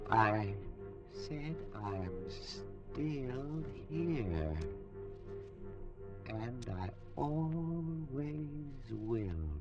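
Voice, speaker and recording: An actor playing a ghostly dead man who haunts another through a vase, with slow, drawn-out, mocking speech in an old radio drama. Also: mid-range voice, big pitch swings, exaggerated voice. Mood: taunting. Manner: slow, drawn-out, taunting delivery. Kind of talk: 1940s radio drama acting. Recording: dull old radio broadcast with loud music.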